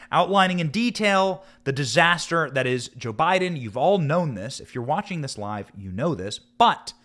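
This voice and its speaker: An American man hosting a live political commentary show, speaking emphatically and dramatically, with rhetorical questions and stressed, drawn-out words. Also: on the high side, bright, forceful tone. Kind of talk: live political commentary with news read aloud.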